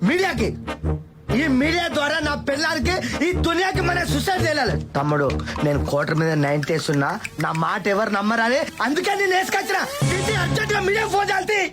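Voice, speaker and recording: A man's voice: very high-pitched, lively intonation, fast, over loud music. A man playing a drunk, self-pitying young husband in a film comedy, rambling with slurred words. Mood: drunken, dramatic. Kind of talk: Telugu film comedy acting.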